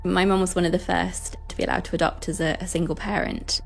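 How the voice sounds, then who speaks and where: Woman, lower voice, easy chatty tone, faint music in the background. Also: level tone, quick pace, vocal fry. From United Kingdom. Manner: chatty, laughs as she tells her life story. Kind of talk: recorded interview clip.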